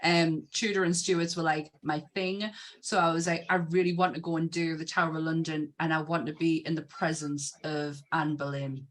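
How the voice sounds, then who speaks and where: A woman with a fairly low-pitched voice chats informally on a group podcast. She tells anecdotes in a loose, conversational way, as one guest among friends. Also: little pitch movement, normal speed. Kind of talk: casual group chat telling film anecdotes.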